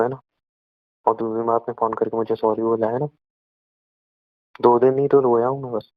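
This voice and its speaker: A heartbroken young man, acted by a man in an emotional call drama, bitter and sarcastic toward his ex. His delivery stays in a narrow, even range and keeps circling back to the same wounded phrases. The recording is dull and dry, like a saved call. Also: mid-range voice, dry recording, lightly acted. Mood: wounded sarcasm. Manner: wounded, sarcastic, repeats phrases with rhetorical tags. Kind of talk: dramatised emotional phone-call dialogue in Hindi.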